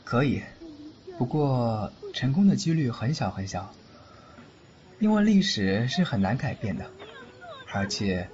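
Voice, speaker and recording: A man's voice: on the high side, warm tone. A man playing a teasing godfather versed in spirit magic, in a theatrical audio drama style. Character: teasing, age-shy godfather who knows spirit magic. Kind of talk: Chinese audio drama acting. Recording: muffled lo-fi, faint noise.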